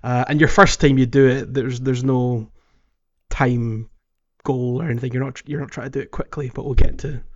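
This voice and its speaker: A man with a mid-pitched voice talks casually about video games as a podcast co-host. He feels his way through sentences, repeating words as he goes. The audio is a little dull and lo-fi. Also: steady tone. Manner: halting, repeats words as he thinks. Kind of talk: casual video game chat.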